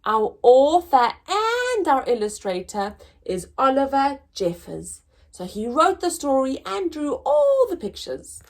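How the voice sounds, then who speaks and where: Slow, high-pitched, animated woman reading to children. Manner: expressive, deliberate storytelling with drawn-out words. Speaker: children's story time host reading aloud. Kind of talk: children's picture book read-aloud.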